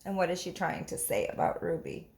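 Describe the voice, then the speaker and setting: A woman's voice: fairly low pitch, huge pitch swings, fairly quick, a little mellow. A woman teacher reading a picture book aloud, narrating and putting questions to young listeners. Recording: roomy echo.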